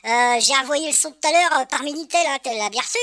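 A woman's voice: high voice, fast talker, fairly bright tone, no room sound. A woman voicing a cheeky, slangy young male radio fan who rambles with lots of hesitations in a comic phone-in sketch. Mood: chatty.

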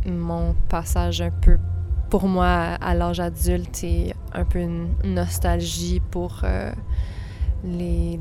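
A woman's voice: lowish voice, mellow. A woman musician from Quebec, an English speaker, answering interview questions hesitantly with many fillers. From Quebec, Canada. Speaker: musician guest.

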